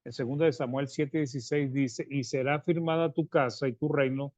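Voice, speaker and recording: Soft-trailing preacher. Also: male voice, mid-range voice, steady tone, dry recording. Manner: addresses listeners as brothers, reads verses then explains; halting restarts, drops softly at phrase ends. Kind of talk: Bible-study sermon. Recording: dry, slightly dull sound.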